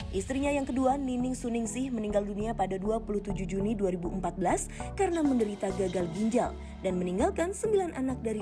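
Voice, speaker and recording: A woman reading a scripted celebrity profile as a voice-over narrator. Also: on the high side.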